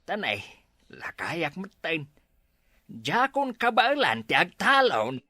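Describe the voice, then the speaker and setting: A grumbling in-law in a rural comedy drama, acted by a woman. The voice is bright and cutting, with big pitch movement and little room sound. The manner is chiding and disapproving. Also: wildly swooping pitch, slow, dry recording, clean studio sound, full character voice. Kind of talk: Ilocano comedy drama acting.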